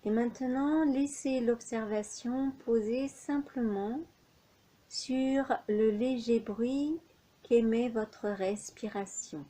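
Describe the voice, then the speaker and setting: Very slow, mellow woman guiding relaxation. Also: fairly high pitch, low-quality recording. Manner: unhurried, measured phrases with pauses. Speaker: yoga nidra instructor. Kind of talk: guided relaxation meditation instructions.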